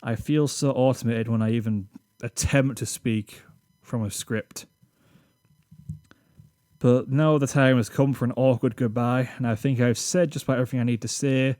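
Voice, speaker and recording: A British man speaks at a middle pitch, reading from a script in a rambling, self-deprecating way. He sounds a little awkward, as if unused to reading aloud. The recording is close and dry, made on a desk microphone. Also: fairly even intonation, normal speed, warm tone, dry recording. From Britain. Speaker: YouTube channel host. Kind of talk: scripted channel reflection.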